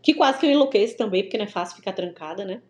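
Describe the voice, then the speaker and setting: A Brazilian woman from the Northeast telling her story as an interview guest over a video call, in a lively, stop-start way with many restarts. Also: medium pitch, rapid-fire, a little hiss or hum. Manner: animated, many restarts and stammers. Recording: echoey room with faint ambience.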